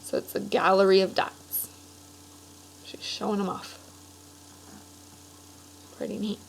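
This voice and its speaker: A woman's voice: medium pitch, steady tone, slow, creaky, a little hiss or hum, rough recording. A woman teacher reading aloud and explaining to children, instructive and checking in with questions.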